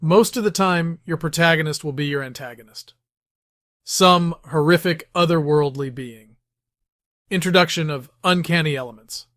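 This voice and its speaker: Higher-pitched man narrating a scripted tutorial. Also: moderate pace, a bit bright, no echo, studio quality. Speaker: host of writing tips series.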